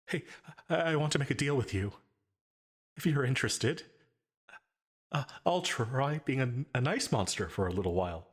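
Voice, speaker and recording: A male actor performs a lonely demon who longs to be real, speaking close and intimately in an ASMR roleplay. His husky, breathy voice moves slowly, with hesitant stammers and long halting gaps. He sounds anxious and possessive, a monster unsure of himself. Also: medium pitch.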